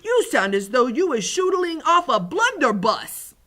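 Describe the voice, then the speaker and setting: A woman voicing a simple-hearted, excitable friendly giant from a children's storybook, with folksy mangled grammar and drawn-out exclamations. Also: medium pitch. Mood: pained, exasperated.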